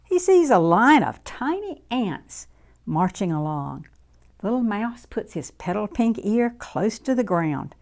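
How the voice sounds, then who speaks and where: Female children's storytime reader. Unhurried, expressive, sing-song delivery with plenty of pitch movement. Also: vocal fry. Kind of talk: read-aloud storytime for young children.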